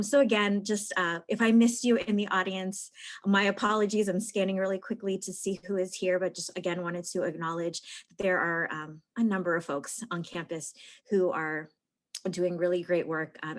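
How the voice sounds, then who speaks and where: A woman with a somewhat low voice hosts an online alumni event. She moves quickly through casual, informal introductions. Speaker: event host. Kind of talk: virtual event moderation.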